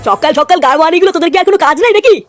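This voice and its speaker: A man voicing a bullying, grumbling middle-aged father in a comic cartoon, scolding and blustering in a pitched-up voice. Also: animated, rapid-fire, a bit bright, no room sound, studio quality, faint music behind. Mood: irate. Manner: scolding, blustering, foul-mouthed.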